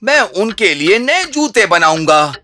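Bright, animated humble cartoon shoemaker. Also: medium pitch, no echo. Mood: grateful, eager. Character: humble, grateful, good-hearted middle-aged male shoemaker. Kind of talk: children's cartoon dub.

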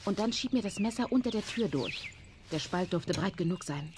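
A woman playing a resolute, dryly witty adult who gives brisk orders in a radio play. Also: mid-range voice, heavy background noise, close to an everyday voice. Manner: brisk, commanding, deadpan. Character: resolute, dryly witty aunt with secret know-how. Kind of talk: children's radio play acting.

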